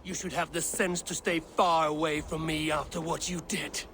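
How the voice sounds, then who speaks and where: An actor playing a proud, scheming Norse god in a video game, with a measured, persuasive manner. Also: male voice, fairly high pitch, flat delivery, fairly bright tone, natural voice. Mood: proud, defiant. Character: smooth-talking, fateful Norse god in disguise, proud schemer. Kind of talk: dramatic video game acting. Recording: game cutscene with clear background noise.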